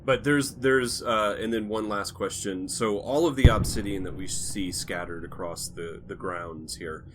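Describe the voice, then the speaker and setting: A man playing a tabletop game, asking careful, halting questions about the rules with many restarts. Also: lowish voice, noisy background. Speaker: player.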